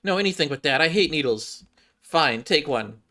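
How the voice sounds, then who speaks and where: A man's voice: on the high side, expressive, normal speed, sharp tone. A man voicing a boastful, easily exasperated young female villain in a game, brash and indignant. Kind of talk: game cutscene read-aloud.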